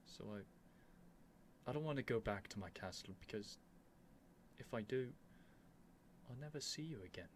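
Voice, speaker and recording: A lovestruck young prince, acted by a man in an ASMR roleplay, speaking at a middle pitch. He is earnest and nervous, stammering with feeling as he addresses his beloved dragon. Some background noise sits behind the voice. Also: expressive, lightly acted. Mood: tender, confessing. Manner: halting, stammering, breathy sighs and whispers, emotional.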